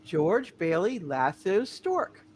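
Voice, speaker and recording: A woman playing a devoted, plain-spoken young wife, gently teasing, in a hammed-up radio play reading. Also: fairly low pitch, big pitch swings, takes it slowly, slight background noise. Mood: fond, teasing.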